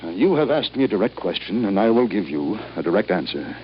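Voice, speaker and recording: A man's voice: on the low side, very animated, a little fast. Stern embittered old father, formal curt delivery, ailing tycoon, 1950s radio play, dull noisy recording. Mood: disapproving, imperious. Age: older. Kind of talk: 1950s radio drama acting.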